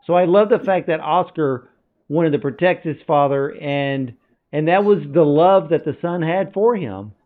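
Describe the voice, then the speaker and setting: A man's voice: a little high, normal speed. A man from the United States hosting an interview, asking long rambling questions. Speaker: interviewer, host. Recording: tinny phone-like line.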